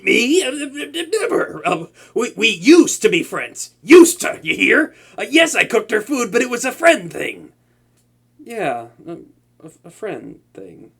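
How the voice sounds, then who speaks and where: A man's voice: sits high, creaky, a bit bright, breathy edge, full character voice. A young man voicing a proud, tsundere male supervillain who cooks for a captive hero, stammering and easily flustered in a comedic audio roleplay. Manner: stammering, flustered, talks to an unseen listener.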